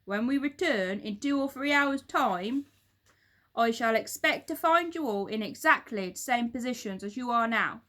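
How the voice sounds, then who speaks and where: A woman voicing a furious, nasty old man from a children's storybook, shouting and barking orders like a bully. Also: fairly high pitch, expressive, moderate pace. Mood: barking, bossy.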